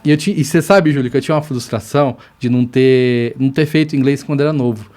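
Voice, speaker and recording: A man's voice: mid-range voice, quick pace, a little mellow. A man in his forties from São Paulo, a lawyer guest on a podcast, telling his life story in a rambling, grateful way. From São Paulo, Brazil. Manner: rambling, grateful, self-correcting. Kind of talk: casual interview storytelling.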